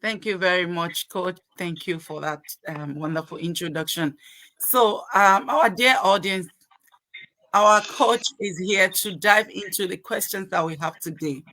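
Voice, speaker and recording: A woman's voice: fairly low pitch, a little slow, pushed and bright, dry recording, poor audio. A woman hosting a podcast panel, introducing guests and handing over questions in a formal way. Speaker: podcast host.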